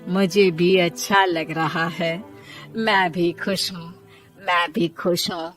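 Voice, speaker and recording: A woman voicing a bossy robot mother-in-law who speaks in repetitive, commanding phrases in an animated story. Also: fairly low pitch, slow, faint music behind, pitched below the performer's own voice. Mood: repetitive, content.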